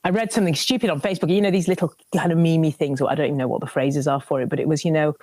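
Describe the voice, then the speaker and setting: A woman, talking as a guest on the radio. Her voice sits very low, and she tells her stories in a lively way, often restarting her sentences. The sound is dry and close, with no room around it. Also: rapid-fire, no room sound. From Lancashire, England. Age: 50s. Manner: animated, warm storytelling with many restarts. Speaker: guest, cookery presenter. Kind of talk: radio interview chat.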